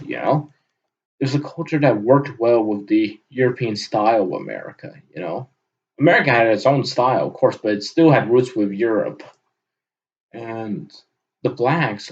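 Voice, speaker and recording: A man giving a rambling, unscripted monologue for an audio log, halting often and letting his sentences trail off. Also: medium pitch, darkish tone, muffled recording. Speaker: vlogger recording an audio log.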